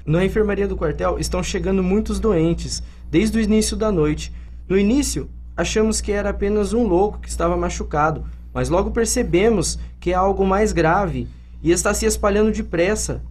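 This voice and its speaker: A man's voice: sits high, normal speed, a little mellow. An amateur male student actor playing a young, dutiful soldier in a radio drama. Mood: urgent reporting. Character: young dutiful soldier reporting to his sergeant.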